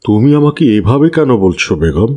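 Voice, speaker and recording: A man's voice: on the low side, very animated, fairly quick, dark tone. A man voicing a sorrowful, resigned landlord and father in a cartoon, lamenting earnestly. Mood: resigned, anguished. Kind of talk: cartoon voice acting.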